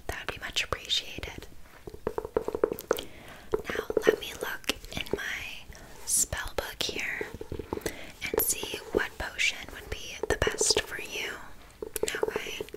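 A woman playing a flaky, passive-aggressive witch in an ASMR roleplay, speaking in a soft, breathy whisper with casual, deadpan patter. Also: slow pace, long pauses, lightly acted, poor audio. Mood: casually unbothered.

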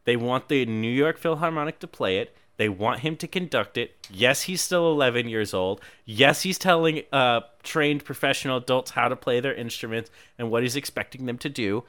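A man hosting a podcast, recapping a movie plot with wry, deadpan jokes. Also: medium pitch, normal speed, hardly any pauses. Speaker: podcast host. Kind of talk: casual movie recap chat.

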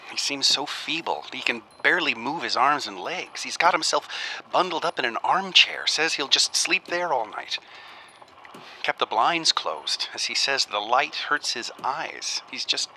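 An actor playing an earnest, uneasy researcher in a radio drama, speaking in a halting, musing way as if keeping an audio diary. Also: male voice, mid-range voice, animated, sharp tone, exaggerated voice, low-quality recording. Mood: concerned. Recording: faint noise behind the voice.

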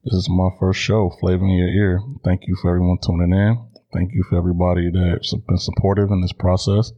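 A man in his thirties with an American accent talks as a solo podcast host. His voice is very low and husky, and he speaks conversationally to his listeners with little rise and fall. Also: flat delivery, fairly quick, muffled recording. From Chicago, United States.

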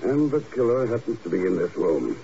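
An actor playing a cool, commanding secret agent in a 1950s radio drama, speaking in a clipped, deliberate way. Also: male voice, medium pitch, sing-song, creaky, warm tone. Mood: grave. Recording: muffled, hissy old radio recording.

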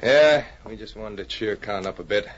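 A man's voice: fairly low pitch, moderate pace, natural voice. An actor playing an uneasy crook who follows his tougher partner, slangy and deferential, in an old-time radio drama. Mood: worried. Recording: muffled old radio, chatter behind.

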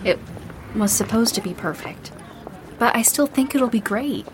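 An actress playing an earnest, hopeful young band member in an audio drama. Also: female voice, fairly high pitch, expressive, normal speed, noisy background, natural voice. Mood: reassuring.

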